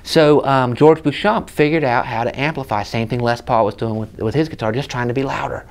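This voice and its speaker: A man's voice: mid-range voice, fairly quick. A man in his thirties with a Southern US accent, casually telling stories and explaining a guitar in a shop. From South Carolina, USA. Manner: chatty storytelling, explaining gear hands-on. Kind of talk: casual gear demo chat. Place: guitar shop room with hanging guitars, indoors.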